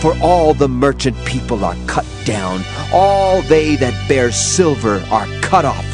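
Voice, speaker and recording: A man with a medium-pitched voice delivers scripture as audio drama. He is solemn and declamatory, stressing his words with weight, and music plays clearly behind him. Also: sing-song, unhurried. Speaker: dramatized Bible narrator. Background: dramatic background music.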